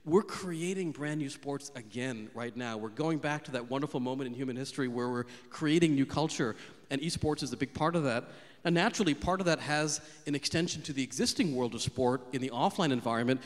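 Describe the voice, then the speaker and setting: A man's voice: medium pitch, brisk. A man giving a conference keynote on stage, speaking fluently and upbeat with a handheld microphone in a large hall. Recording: long reverb of a big hall.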